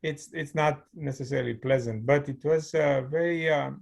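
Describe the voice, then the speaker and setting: A man's voice: on the high side, normal speed, vocal fry. A middle-aged man from Romania with a Romanian accent, telling his story as an interview guest over a video call in a thoughtful way. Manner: thoughtful, storytelling with light laughs. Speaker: guest, mechanical engineer. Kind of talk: conversational interview.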